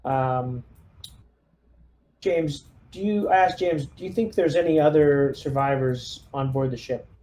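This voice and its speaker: A man's voice: a little high, a little slow, warm tone, slight background noise. A man playing a tabletop roleplaying game, talking casually with the group.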